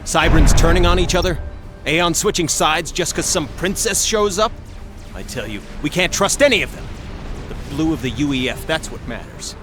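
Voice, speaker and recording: An actor playing a gruff, embittered, loyal soldier in a video game, talking bluntly and colloquially. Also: male voice, on the high side, animated, moderate pace, music in the background, close to an everyday voice. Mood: bitter, distrustful. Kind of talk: video game cutscene acting.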